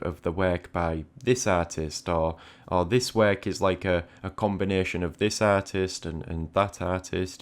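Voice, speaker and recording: A man giving reflective, conversational advice as an art channel host, often repeating words as he thinks. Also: low-pitched.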